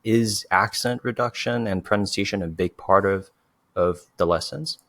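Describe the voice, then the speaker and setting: A man hosting a conversation, speaking English as a second language with an acquired American accent, relaxed and measured. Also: fairly low pitch, no echo. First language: not English. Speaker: host. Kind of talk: casual conversation.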